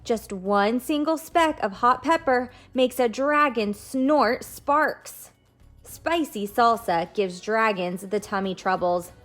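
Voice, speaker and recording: High-voiced woman reading slowly to children. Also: expressive, fairly bright tone, faint music behind. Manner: addresses children directly with simple explanations and questions. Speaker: children's read-aloud host and teacher. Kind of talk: picture-book read-aloud and lesson for young children.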